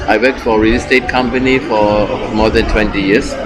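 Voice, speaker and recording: Male guest, sixties, German accent, lowish voice, halting pace, loud music bed. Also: steady tone. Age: 60s. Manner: unhurried, halting, with pauses. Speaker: interview guest. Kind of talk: casual interview chat.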